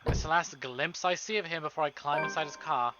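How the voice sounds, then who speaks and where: A male streamer reading visual novel narration aloud in an expressive, dramatic way, with casual asides. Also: on the high side, brisk, highs cut off. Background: game music.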